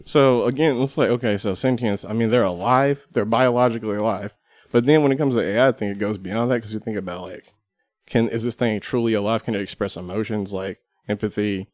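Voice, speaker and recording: A man's voice: lowish voice, brisk, a little mellow, no echo, clean studio sound. A man as a podcast guest in casual discussion, explaining his distinctions step by step. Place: studio.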